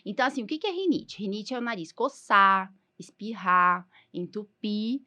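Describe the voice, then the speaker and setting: A woman's voice: medium pitch, normal speed, bright, forceful tone. A woman in her forties from Brazil, an allergist hosting a casual podcast, chatty and full of little fillers.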